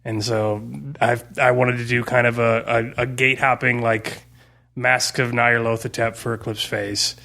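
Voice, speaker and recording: A man's voice: mid-range voice, little pitch movement, a little slow, creaky, bright, forceful tone. A man talking informally as a podcast guest and game master, thinking aloud as he explains his campaign design.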